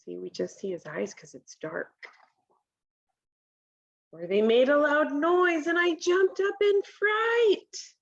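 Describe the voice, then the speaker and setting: A woman in her thirties reads a children's picture book at a slow, deliberate pace. Her voice sits a bit high, and she speaks like an instructor guiding young listeners. The sound is a little muffled, as if from a device microphone in a home kitchen. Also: lively intonation, creaky. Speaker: read-aloud presenter for children. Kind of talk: children's picture book read-aloud with commentary.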